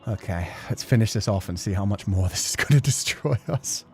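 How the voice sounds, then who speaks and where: A man's voice: on the low side, animated, a little fast, a little mellow. A man giving casual, reflective commentary as a streamer, talking in an earnest, emotional, rambling way. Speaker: streamer hosting a game playthrough. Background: music.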